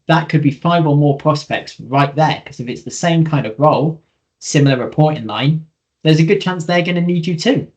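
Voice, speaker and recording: A man's voice: a little high, normal speed. A man co-presenting a webinar, explaining things in an informal instructional style.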